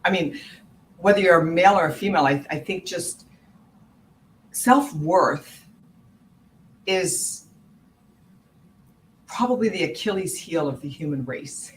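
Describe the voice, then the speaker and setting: A woman host with a fairly low voice who speaks very slowly and reflectively, thinking aloud as she goes. A faint noise sits under her voice. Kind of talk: conversational interview.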